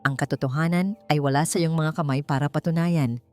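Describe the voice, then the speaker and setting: A woman's voice: deep voice, steady tone, a little fast, darkish tone, lightly acted. Woman narrator as cold female office manager, Filipino accent, formal, curt, official, audiobook drama, faint music. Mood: stern, dismissive. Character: stern, cold female human resources manager.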